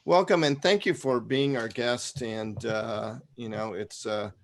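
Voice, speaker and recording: A man's voice: medium pitch, a little slow. A man hosting a casual interview show, joking and using lots of fillers. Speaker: host.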